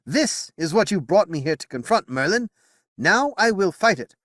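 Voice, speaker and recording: A man plays a defiant young king, firm and driven, his voice raised and lively. The sound is dry and close. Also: high-pitched, moderate pace, no echo, pitched above the performer's own voice. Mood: resolute.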